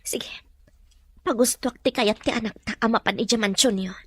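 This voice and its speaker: A woman acts as a modest young mother in an audio drama. Her voice is high and bright, with a wary, hesitant delivery. It is recorded dry. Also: moderate pace, husky, no room sound. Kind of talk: Ilocano radio-style drama acting.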